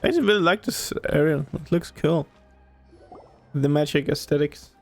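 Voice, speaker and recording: A woman gamer with a low voice full of vocal fry comments casually as she plays. Her remarks are short and offhand, with faint game music behind. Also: lively intonation, moderate pace, darkish tone. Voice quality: creaky. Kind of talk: casual let's play commentary.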